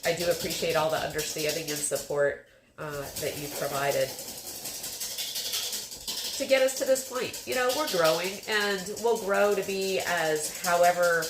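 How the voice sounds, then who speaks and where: A woman's voice: lowish voice, lively intonation, normal speed, background noise. A woman in her fifties chatting casually as a livestream host, rambling with many fillers. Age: 50s. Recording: echoey room with background music.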